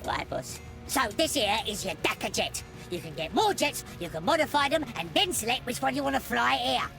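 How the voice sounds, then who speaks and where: An actor voicing a small, eager, servile goblin assistant in a video game, with a squeaky voice. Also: expressive, fairly quick, creaky, pushed and bright, soft background music. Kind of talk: video game character acting.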